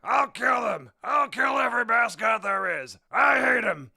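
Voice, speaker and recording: A man playing an unhinged villain who shouts and rants frantically in a comic audio mystery. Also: very high voice, lively intonation, measured pace, vocal fry, slightly rough, dry recording, studio quality, heavily acted. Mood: raging, manic. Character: unhinged, ranting male villain, a mascot killer. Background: music.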